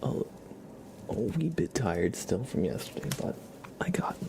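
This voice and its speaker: A man hosting a casual ASMR livestream, chatting to viewers in a soft, breathy, whispery voice with an unhurried, rambling manner. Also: lowish voice, sing-song, noisy background. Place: room at home with a window.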